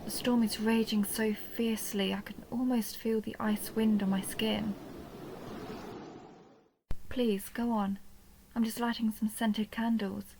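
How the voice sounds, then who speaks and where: A woman playing a seductive, possessive vampire countess in an intimate audio roleplay, speaking slowly and teasingly, often dropping toward a breathy whisper. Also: on the high side, little pitch movement, noisy background. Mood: soft, musing. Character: seductive possessive vampire countess toying with her human captive. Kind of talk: intimate dark romance audio roleplay, ASMR-like.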